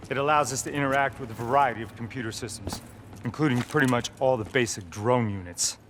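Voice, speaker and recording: A man's voice: medium pitch, fast talker, fairly bright tone, slight background noise, natural voice. A man playing a brash, foul-mouthed soldier mentor in a video game, talking in a slangy, brotherly, swaggering way. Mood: confident, commanding. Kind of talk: video game cutscene acting.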